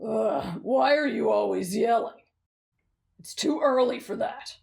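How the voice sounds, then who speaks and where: A woman voicing a brash, grumpy wild teenage boy with a gruff, grumbling manner in an anime fan roleplay. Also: a little high, unhurried, a little mellow, voice pushed down.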